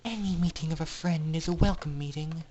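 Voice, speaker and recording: A man voicing a gentle, sincere female pegasus pony in a storybook reading. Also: fairly high pitch, fairly quick, dull audio, slight background noise, close to an everyday voice.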